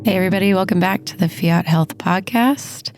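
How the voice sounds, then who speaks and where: A woman nurse practitioner hosting a casual health podcast, a little hoarse as she loses her voice, chatty and laughing often. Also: fairly low pitch, brisk, a little mellow, soft background music, rough recording. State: losing her voice.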